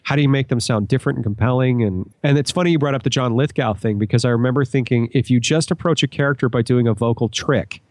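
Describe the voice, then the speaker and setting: A man's voice: medium pitch, quick pace, few pauses, dry recording. A man speaking as a podcast guest in casual conversation, explaining things in an earnest way.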